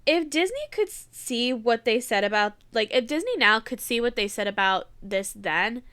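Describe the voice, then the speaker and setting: Woman, stuffed-up nose, clear smooth tone, casual reaction host, blunt and exclamatory. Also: a little high, lively intonation, normal speed, fairly bright tone. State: congested with a cold. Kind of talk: casual chatty reaction commentary.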